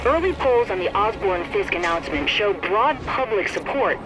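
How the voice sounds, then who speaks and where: A concerned news commentator in a video game. The voice is high and formal, reading an editorial with very loud music over it. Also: male voice, animated, normal speed, low-quality recording.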